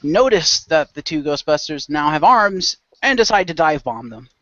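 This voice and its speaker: A man's voice: fairly high pitch, very animated, normal speed, fairly bright tone, no echo, highs cut off. A man acting as game master, narrating improvised scenes for a radio role play.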